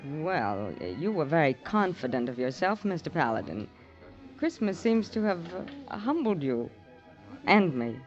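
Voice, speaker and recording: A woman's voice: low voice, big pitch swings, a little slow. A woman playing a coy, refined young society lady in a 1950s radio drama, genteel and a little hesitant. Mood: tender, hesitant. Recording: muffled vintage radio, faint music.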